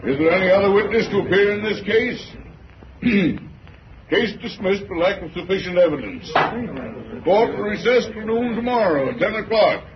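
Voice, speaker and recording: Formal small-town judge, noisy old radio. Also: male voice, high voice, dull audio. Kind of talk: old-time radio drama acting.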